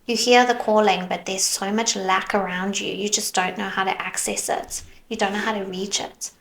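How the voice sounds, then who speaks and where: A woman giving a tarot reading, musing softly as she goes and sometimes slipping into a whisper, her voice worn as though she is losing it. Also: mid-range voice, steady tone, vocal fry, low-quality recording. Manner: slow, soft, musing, drifts into whispers. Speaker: tarot reader.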